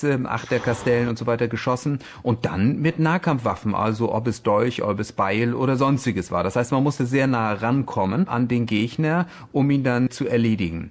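Male history expert, mid-pitched, unscripted explanatory interview talk.

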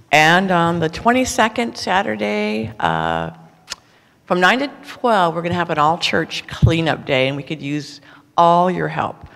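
A woman's voice: lowish voice, vocal fry, fairly bright tone, in a large hall. A woman in a church congregation making plain, practical announcements. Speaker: congregation member. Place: church sanctuary.

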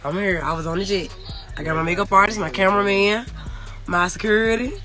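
A woman's voice: medium pitch, music in the background. A young woman with a Memphis Southern accent, talking casually to fans on a phone livestream from inside a private jet. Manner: Southern slang, fast and animated. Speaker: rapper on a livestream. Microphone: phone held at arm's length.

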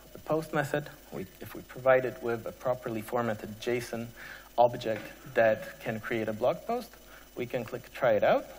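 Male conference presenter, medium pitch, halting technical delivery with frequent pauses, long hall reverb. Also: slight background noise. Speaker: conference speaker.

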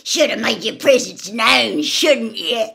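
A woman voicing a gruff, aggressive, suspicious old man in a storybook reading, loud and belligerent. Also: a little high, lively intonation, slow, voice pushed up, full character voice.

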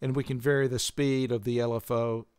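An older man giving a measured, methodical instructional demonstration as a tutorial presenter. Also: medium pitch, level tone, music in the background.

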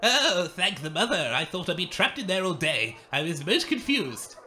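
Man playing an excitable, high-pitched game show host. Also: normal speed, background noise. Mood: flustered. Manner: flustered, scolding. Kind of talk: comedy audio drama acting.

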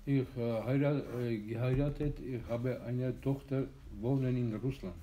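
Slow, mellow man with an Armenian accent. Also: medium pitch, fairly even intonation, poor audio. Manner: hesitant, halting, searching for words. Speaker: exam candidate. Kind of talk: simulated oral language exam.

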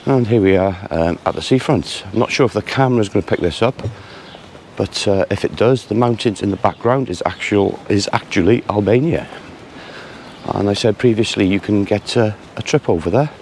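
A man casually narrating a walking tour outdoors on village streets, chatty and relaxed with frequent pauses to say "uh". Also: lowish voice, a little hiss or hum. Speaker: travel vlogger.

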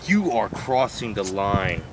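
A man's voice: lowish voice, sing-song, takes it slowly, heavy background noise. A young man bantering with friends by a lake, playing offended and laughing. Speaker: friend on fishing trip. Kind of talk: casual banter. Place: lakeside outdoors.

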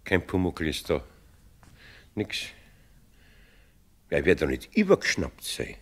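A man playing a good-natured, bewildered older master carpenter with a husky voice and Bavarian accent, muttering and exclaiming in a radio play. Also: extremely low pitch, wildly swooping pitch, slow, full character voice. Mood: anxious, wistful. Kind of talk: radio play acting for children.

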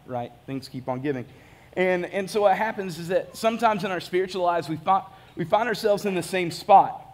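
A man's voice: a little high, darkish tone. A man, preaching a casual, joking sermon from a large church stage. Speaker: pastor.

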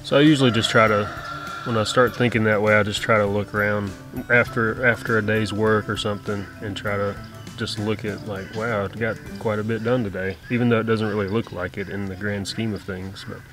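A man in his thirties speaking slowly and thoughtfully outdoors on his homestead, often repeating words. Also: fairly low pitch, fairly even intonation, music in the background. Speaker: homestead vlogger. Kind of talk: casual chat.

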